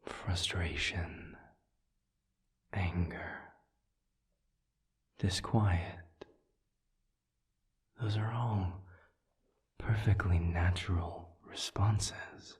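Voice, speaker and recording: A man playing a suave, patient demon in an audio roleplay, speaking in a breathy half-whisper with measured, formal, soothing phrasing. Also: sits low. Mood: calm, validating. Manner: measured, deliberate, formal phrasing with long pauses. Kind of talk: audio roleplay, intimate one-sided dialogue.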